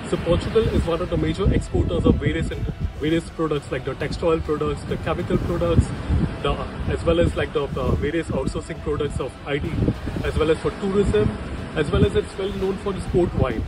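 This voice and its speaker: A man's voice: on the high side, brisk, heavy background noise. A man in his thirties from India, talking casually to camera outdoors, hesitant with many fillers. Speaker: business agent in Portugal. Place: outdoors in a city street. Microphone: phone at arm's length.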